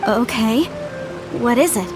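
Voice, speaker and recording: An actress playing an earnest, principled young archaeologist in a video game, speaking politely. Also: female voice, on the high side, expressive, a little slow, music in the background. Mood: curious, uncertain.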